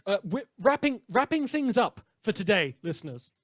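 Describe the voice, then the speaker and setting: A man playing a brooding, dramatic host of a post-apocalyptic town radio show, delivering theatrical monologues with heavy pauses. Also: sits high, sing-song, no room sound, studio quality, voice pushed up. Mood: ranting, scornful. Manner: theatrical, dramatic pauses, swinging from hushed to loud. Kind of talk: fictional community radio broadcast monologue.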